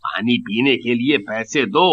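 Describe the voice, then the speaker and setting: A man voicing a greedy, callous village merchant in an animated moral story, gruff and dismissive, with drawn-out exclamations. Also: medium pitch, dull audio. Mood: dismissive, stern.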